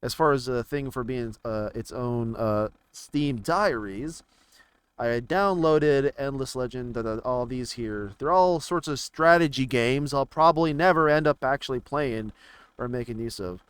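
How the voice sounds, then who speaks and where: A man giving casual, rambling commentary on video games, haltingly thinking out loud as he browses. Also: mid-range voice, clean tone, no echo. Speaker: gaming video creator.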